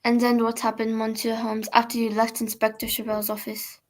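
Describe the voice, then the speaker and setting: A woman's voice: on the high side, completely flat delivery, normal speed, very noisy, natural voice. An actress playing a formal, probing female prefect questioning a witness in a radio drama, controlled and inquisitive.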